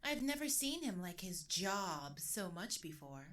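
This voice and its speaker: Knowing, teasing friend, unhurried and lively-pitched, audio drama. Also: female voice, very noisy. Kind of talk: scripted audio drama acting.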